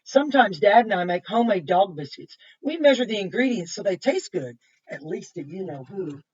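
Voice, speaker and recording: A woman, heard through a muffled microphone some way off. She reads a picture book to young children as their teacher, in a mid-pitched voice. She breaks off to point things out, repeat them simply and ask questions. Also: big pitch swings, moderate pace, a little mellow, slightly rough, far from the mic. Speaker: kindergarten teacher. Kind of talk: reading a picture book aloud to children with explanatory asides.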